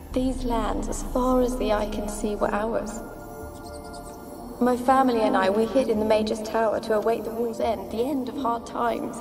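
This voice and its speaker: An actress playing the lonely, haunted ghost of a young noblewoman in a video game, with a plaintive, dramatic delivery. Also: on the high side. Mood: wistful, proud. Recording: loud music under the voice. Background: game soundtrack music.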